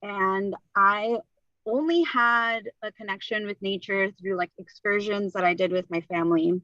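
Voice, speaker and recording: A woman's voice: mid-range voice, unhurried, sharp tone, no room sound. Woman from Bolivia, friendly panelist, dry dull call audio. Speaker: community garden program manager, panelist. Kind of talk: panel talk on a video call.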